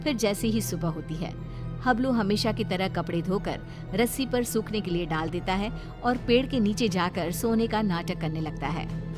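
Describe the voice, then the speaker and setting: A woman's voice: mid-range voice, normal speed, over music. A woman storyteller reading a scripted tale as narrator for a children's story.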